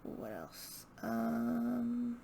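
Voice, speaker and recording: A woman chatting casually and unscripted as a book vlogger, hesitant and halting as she thinks aloud. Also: a little high, very animated, creaky, mellow, a little hiss or hum. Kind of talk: casual unscripted chat to camera.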